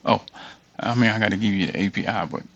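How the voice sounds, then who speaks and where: A man's voice: lowish voice, fast, fairly bright tone, dull audio. A man giving an informal screen-share walkthrough, thinking aloud and muttering as he reads text off the screen. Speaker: tutorial creator.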